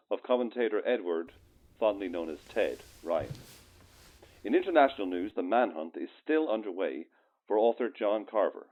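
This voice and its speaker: A man's voice: on the low side, darkish tone, a little hiss or hum, rough recording. A man playing a formal evening newsreader in a radio play, with declamatory delivery. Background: faint music.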